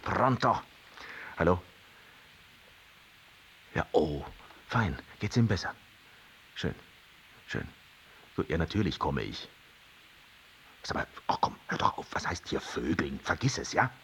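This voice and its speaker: An actor in a radio drama playing a sarcastic, easily exasperated older widower who helps reluctantly. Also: male voice, sits low, sing-song, slow pace, pauses often, a bit bright, a little hiss or hum, heavily acted. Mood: subdued.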